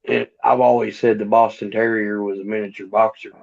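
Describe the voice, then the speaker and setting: Late 30s guy on a video call, gravelly and pretty monotone, chatty and laid-back, muffled sound. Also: on the low side, fairly quick. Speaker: co-host. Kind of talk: casual livestream chat. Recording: muffled lo-fi stream audio.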